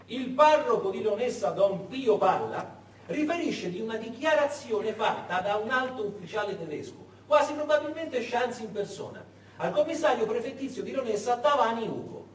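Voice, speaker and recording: Male stage narrator, high, very lively pitch, dramatic historical storytelling with weighty pauses. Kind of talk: theatrical historical storytelling, monologue. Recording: echoey stage, distant, faint noise.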